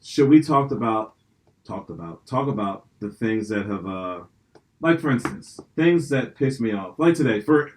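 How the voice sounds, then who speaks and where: Animated, profane young man chatting. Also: lowish voice, normal speed. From Pennsylvania. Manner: profane, rambling, animated storytelling. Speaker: podcast host. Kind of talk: casual chat.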